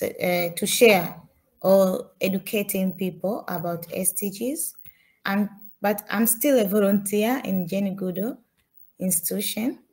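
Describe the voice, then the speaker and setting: A young woman from Tanzania presents her slides at a slow, unhurried pace. She pauses often and restarts her sentences, and her is rather than English. She speaks at a mid pitch, like a student giving a talk. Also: a little mellow. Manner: halting, many fillers and restarts.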